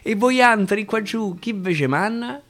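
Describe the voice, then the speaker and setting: A man's voice: high voice, expressive, a little slow, fairly bright tone, pitched above the performer's own voice. A man voicing a blunt, naive feather-crested native in Roman dialect for a comic verse stage tale, guitar behind. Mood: blunt, puzzled. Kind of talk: comic theatrical verse storytelling.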